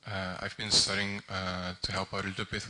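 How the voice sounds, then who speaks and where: Hesitant young man, bright tense voice. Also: deep voice, flat delivery, fairly quick, rough recording. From Netherlands. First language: Dutch. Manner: hesitant, many ums and restarts. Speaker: volunteer translator speaking at an event. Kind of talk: informal public talk.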